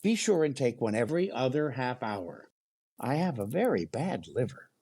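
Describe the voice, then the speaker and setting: A meek, befuddled fellow in a radio comedy. His voice is husky and jumps all over in pitch. He sounds hapless and easily flustered. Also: very animated, normal speed, darkish tone. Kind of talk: old-time radio comedy acting.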